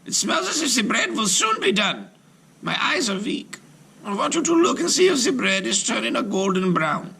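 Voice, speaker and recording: A man voicing a gnarled, greedy old witch in a high, crackly voice for a read-aloud storybook. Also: very animated, normal speed, vocal fry, pushed and bright, husky, heavily acted. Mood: coaxing.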